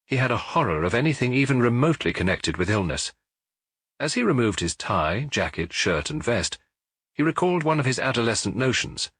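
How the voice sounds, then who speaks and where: A man narrating an audiobook in a measured, expressive storytelling manner. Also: medium pitch, slightly rough, no room sound.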